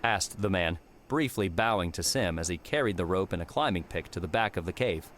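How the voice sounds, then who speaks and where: A man's voice: deep voice, lively intonation, brisk, a bit bright, slight background noise. A man reading scripted third-person fiction as an audiobook narrator. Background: prominent music with outdoor ambience.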